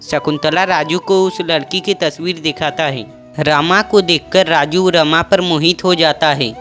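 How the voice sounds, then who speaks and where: Cartoon narrator, steady and even, music bed. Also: mid-range voice, unhurried. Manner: steady, matter-of-fact telling.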